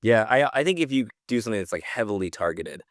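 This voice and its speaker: A man hosting a podcast, talking in a relaxed, informal way and steering the chat. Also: fairly low pitch, fast, no room sound, studio quality. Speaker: host.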